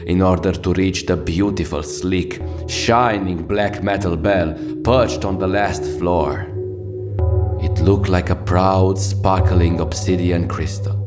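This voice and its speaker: A jaded city radio host of the sixties, played by a man, speaks in a very low voice over prominent music. He narrates in a deadpan, sardonic way, with grand literary phrasing, as in a radio drama. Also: slow. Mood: solemn, lyrical. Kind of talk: scripted radio monologue, poetic narration. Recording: music behind the voice.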